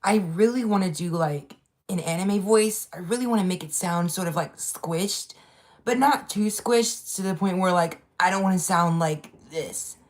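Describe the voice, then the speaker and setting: A woman with a low-pitched voice hosts a relaxed livestream. She chats in a loose, upbeat way, often repeats her phrases, and stops to greet viewers as they drop in. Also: moderate pace. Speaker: livestream host. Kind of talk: casual livestream chat.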